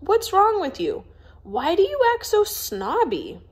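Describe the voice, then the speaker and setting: A woman's voice: very high-pitched, huge pitch swings, measured pace, voice pushed up, heavily acted. A woman voicing a frank, skeptical young boy in a storybook, blunt and challenging. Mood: annoyed.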